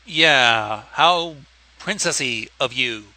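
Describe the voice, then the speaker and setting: Male co-host: very bright, forceful voice with fry, slow sarcastic heckling and casual jokes. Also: mid-range voice, lively intonation, no echo. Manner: sarcastic, heckling, drawn-out reactions. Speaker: co-host commenting on a fanfiction reading. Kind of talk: casual joking commentary.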